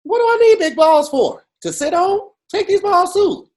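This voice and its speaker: A man co-hosting a podcast, joking around in an animated, profane way. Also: very high voice, normal speed. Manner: animated, profane, jokey storytelling with mocking quoted voices. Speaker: podcast co-host. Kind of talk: casual comedic chat.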